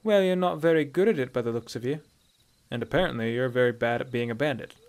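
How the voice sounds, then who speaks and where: A man voicing a sly female dark elf bandit in a fantasy story, brash, sardonic and bossy. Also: mid-range voice, fast, lightly acted. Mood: mocking.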